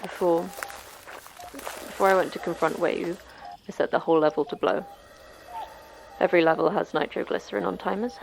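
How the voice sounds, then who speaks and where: Low, gravelly, strained actress as defiant captive. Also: female voice, steady tone, a little fast, long pauses, a little hiss or hum, poor audio. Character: weakened, defiant female captive issuing a threat. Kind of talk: sci-fi horror audio drama acting.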